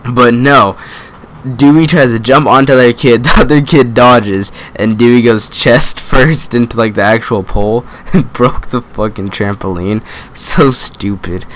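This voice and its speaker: A man's voice: mid-range voice, measured pace, fairly bright tone. A young man with a mid-range voice tells a story in a loose, casual way. He rambles, leans on slang and swearing, and often breaks off his own sentences before picking the thread back up. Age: young adult. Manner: rambling, slangy, frequent swearing, self-interrupting. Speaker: gaming video creator. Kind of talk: casual storytelling over gameplay.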